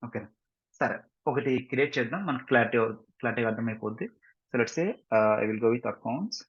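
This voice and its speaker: Husky Telugu Indian man teaching, muffled audio. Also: medium pitch, a little fast. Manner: repeatedly checks understanding with short tag questions. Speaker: software instructor. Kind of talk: step-by-step screen tutorial, mixing in English. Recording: muffled lo-fi mic, roomy echo.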